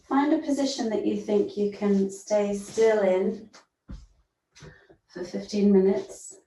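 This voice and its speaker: A woman teaching yoga nidra, leading a guided meditation in a gentle, soothing manner with long pauses. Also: medium pitch. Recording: roomy echo, distant from mic.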